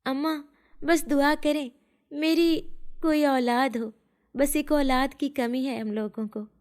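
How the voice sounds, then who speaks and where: A woman voicing a kind, earnest young wife who longs for a child in an animated fairy tale. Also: high-pitched, slow, pitched above the performer's own voice. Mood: wistful. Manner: earnest, caring.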